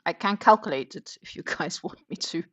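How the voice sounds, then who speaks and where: A woman chats casually on a crochet livestream. Her voice sits a little low, and she keeps up an easygoing patter as she reads out viewer comments. She mutters stitch counts to herself between sentences. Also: animated, fairly quick. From Denmark. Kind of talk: casual chat while crocheting.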